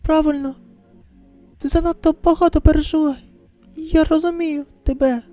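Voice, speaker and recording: High-voiced woman reading manga aloud. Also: fairly even intonation, normal speed, dark tone. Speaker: amateur manga voice-over reader. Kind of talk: expressive acted manga reading. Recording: close, dry voice over music.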